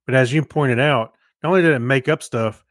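A male podcast co-host with a medium-pitched voice, recorded close and dry. He talks casually, explaining his points in an easy conversational manner with some amusement. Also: brisk, a bit bright, no echo. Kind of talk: casual chat.

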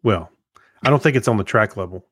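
A man co-hosting a podcast in casual chat, talking in a halting, repetitive, folksy and joking way. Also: fairly low pitch, lively intonation, fairly bright tone, breathy edge, dry recording, clean studio sound. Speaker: podcast co-host.

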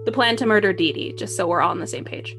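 Female podcast narrator, blunt and snarky, medium pitch, dry asides over soft background music. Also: fast. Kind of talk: sarcastic commentary on a dramatic reading.

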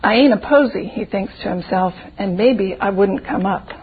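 A woman's voice: medium pitch, moderate pace, husky, narrow, tinny audio. A woman voicing a frail, frightened little boy in a storybook tale, plaintive and speaking folksy old-time country dialect. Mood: doubtful, fearful.

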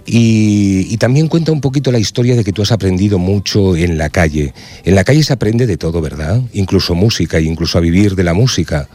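A man's voice: low-pitched, fairly quick, few pauses, a little mellow. A man hosting a casual radio interview, chatty and hesitant, with lots of fillers. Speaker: radio host.

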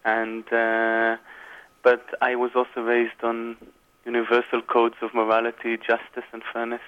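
A young man from Israel in his twenties speaks in a flat, monotone way, pausing often with fillers. His voice comes through a narrow, phone-like line. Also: mid-range voice, smooth. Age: 20s. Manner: hesitant, monotone, many fillers. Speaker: guest, reserve army officer. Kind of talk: interview answers.

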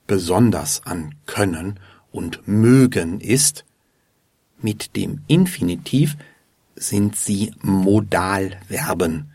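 A man hosting a lesson podcast, slowly and patiently explaining grammar to learners. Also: low voice, lively intonation, a little mellow, no room sound. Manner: deliberate and patient. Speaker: German teacher and podcast host. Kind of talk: slow, clear explanation for learners.

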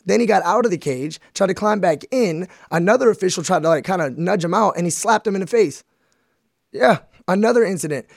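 Male American podcast host, casual and blunt, higher register, dry close studio sound. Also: gravelly, darkish tone, no room sound.

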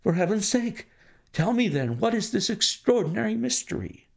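A man's voice: sits high, big pitch swings, normal speed, creaky, voice pushed up, full character voice. A man putting on a frantic old banker. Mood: excited. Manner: anxious, pleading, breathless exclamations. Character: distraught older male banker, frantic and pleading.

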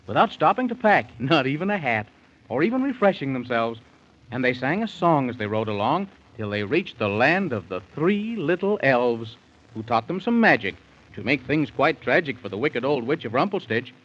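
A man tells a bedtime tale in bouncy, theatrical rhyme. His voice is animated and slightly breathy. The sound is a dull, old 1930s radio recording. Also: mid-range voice. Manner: theatrical, folksy, sing-song rhymes. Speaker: storyteller narrator.

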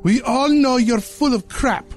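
A man voicing a bitter, sarcastic, charred ghost ballerina in a horror story, with a shrill, mocking, scolding manner. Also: unhurried, darkish tone, soft background music.

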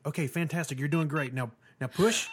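A woman's voice: deep voice, fairly quick, close to an everyday voice. A woman playing an encouraging, earnest childbirth coach in a comedy promo sketch, coaxing and a little hesitant. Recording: very noisy promo clip.

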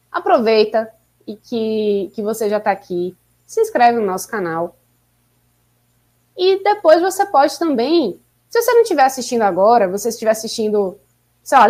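A woman co-hosting a casual live podcast stream, chatty and upbeat as she urges viewers to like and subscribe. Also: a little high. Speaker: podcast co-host.

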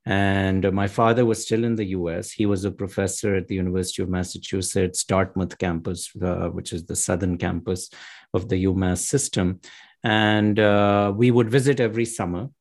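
Articulate low-voiced man, interview guest. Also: steady tone, darkish tone. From United States and Pakistan. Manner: articulate, measured, laughs lightly. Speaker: author and academic guest. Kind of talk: interview answers.

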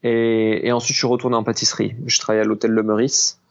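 A man in his thirties from southwest France, a pastry chef telling his story in a relaxed, unhurried way in an interview. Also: on the low side, dull audio. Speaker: pastry chef and business founder. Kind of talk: casual interview conversation.

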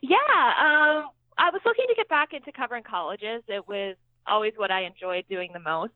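A woman's voice: a little high, animated, normal speed, no echo, phone-quality sound. An American woman, a sports beat writer, giving conversational answers as an interview guest. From Pittsburgh, United States.

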